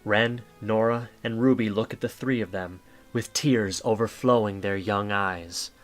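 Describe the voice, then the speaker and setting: A man's voice: fairly low pitch, unhurried, faint music behind. A man narrating a dramatic story from a script for an audio drama.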